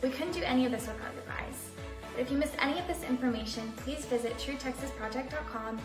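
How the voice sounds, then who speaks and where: A woman reads promotional announcements in an upbeat, scripted way, her voice a little high. The recording sounds echoey, with clear music playing underneath. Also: fast.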